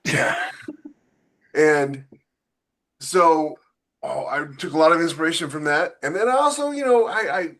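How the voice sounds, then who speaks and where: A man chatting casually as a podcast co-host and author about his own work, haltingly thinking aloud and repeating phrases as he goes. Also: fairly high pitch, a bit bright.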